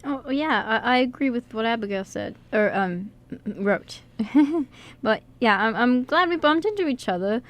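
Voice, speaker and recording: A woman's voice: a little high, animated, a little slow. A woman acting a nervous, good-natured young man in an amateur radio play, stammering and hesitant as he puts himself down. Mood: nervous, cheerful. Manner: heavily stammering, hesitant, self-deprecating.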